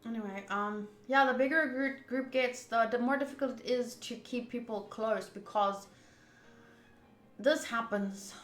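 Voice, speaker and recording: A woman streamer doing a game playthrough, reading the text aloud and commenting in an expressive, emphatic way. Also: on the high side, measured pace. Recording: roomy echo, game music behind. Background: soft game music.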